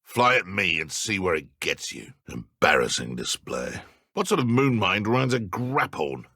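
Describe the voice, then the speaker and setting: Game villain: gruff British dark wizard poacher, gravelly, sneering, wide melodic swings, threatening. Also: male voice, deep voice, big pitch swings, measured pace, no room sound, studio quality, exaggerated voice. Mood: sneering menace. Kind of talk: video game combat voice lines.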